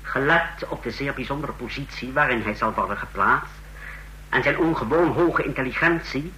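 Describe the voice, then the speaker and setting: A man's voice: mid-range voice, normal speed, sharp tone, a little hiss or hum, close to an everyday voice, low-quality recording. An actor playing a hesitant secret police chief in a radio play, deferential to his ruler. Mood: cautious scheming.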